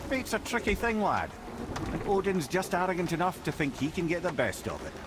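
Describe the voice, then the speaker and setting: Animated old Scottish storyteller. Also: male voice, high-pitched, a little fast, background noise. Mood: wry. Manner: theatrical, wry storytelling. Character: wry old male storyteller, learned and animated. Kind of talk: dramatic storytelling in a video game.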